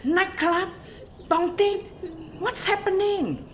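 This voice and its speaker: High-pitched, puzzled old granny played by a woman. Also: expressive, slow pace, a little hiss or hum, exaggerated voice. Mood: bewildered. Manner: exclaiming, puzzled, questioning. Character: kindly, bewildered old kampong granny. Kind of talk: radio play acting.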